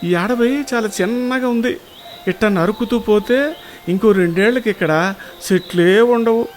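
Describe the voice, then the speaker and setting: A man's voice: high-pitched, unhurried, slight background noise. A man playing a greedy, rash village woodcutter in a children's moral story, talking in a wheedling, colloquial rural Telugu accent. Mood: eager wheedling. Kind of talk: animated moral story acting.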